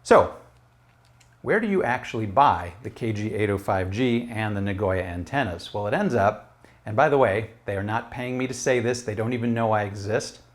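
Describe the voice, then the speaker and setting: Low-pitched middle-aged American man. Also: expressive, moderate pace. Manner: conversational, explanatory. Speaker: product reviewer. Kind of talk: casual product review to camera. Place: small room at home. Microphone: lapel mic.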